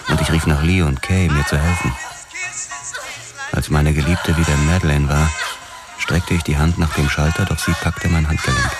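An actor playing a brooding, obsessed police detective, narrating his own noir story in a measured, sombre manner. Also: a bass voice, fairly even intonation, over loud music. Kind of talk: scripted noir first-person narration.

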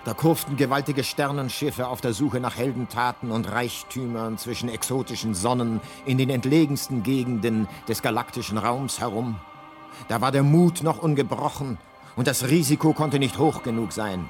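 A man narrating a science fiction radio play as a guidebook, with measured, mock-epic delivery and deliberate pauses. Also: medium pitch, a little mellow, soft background music, natural voice. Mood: wry grandeur. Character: grandly ironic guidebook narrator.

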